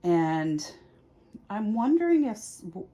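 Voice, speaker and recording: A woman's voice: medium pitch, big pitch swings, takes it slowly, mellow. A woman hosting a casual explanatory video, thinking aloud in a conversational, lightly self-mocking way as she does a tarot reading. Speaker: tarot reader and video host. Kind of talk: casual explanatory chat to camera.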